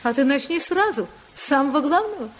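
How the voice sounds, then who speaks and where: A woman's voice: high voice, fairly quick. An actress playing a spirited, self-assured senator's wife and mother in a 1950s radio play. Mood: calm, ironic. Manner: lively, ironic, assured. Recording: 1955 radio drama recording.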